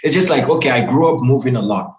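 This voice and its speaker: A young man from West Africa talks casually with an emphatic, animated delivery, dropping bro and man as he goes. His mid-range voice carries a roomy echo. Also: medium pitch, fast. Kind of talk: casual conversation.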